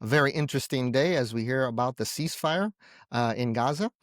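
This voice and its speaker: A man's voice: medium pitch, fairly quick, dry recording, studio quality. A man hosting an interview show, speaking conversationally in rambling, wordy phrasing as he addresses his guest and audience.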